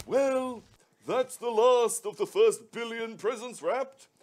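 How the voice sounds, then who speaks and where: A man's voice: takes it slowly, darkish tone. A man voicing a jolly, pompous old Father Christmas in a live radio play reading. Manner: booming theatrical delivery with ho ho ho. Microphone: headset.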